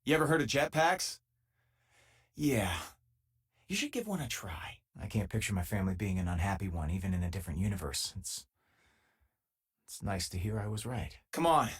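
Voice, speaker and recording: An actor playing an easygoing, loyal and wry space miner companion in a video game, with a husky, conversational delivery. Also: male voice, lowish voice, expressive, normal speed, pauses often.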